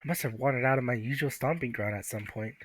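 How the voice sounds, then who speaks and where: A man's voice: mid-range voice, fast talker, fairly bright tone, breathy edge. Man reading as earnest teenage schoolgirl heroine. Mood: musing. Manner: wry inner monologue. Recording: music behind the voice.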